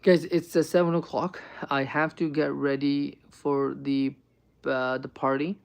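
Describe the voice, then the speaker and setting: A man's voice: mid-range voice, flat delivery, measured pace, gravelly. A young man on a live stream, answering chat questions with earnest, reassuring advice and lots of ums and "right?".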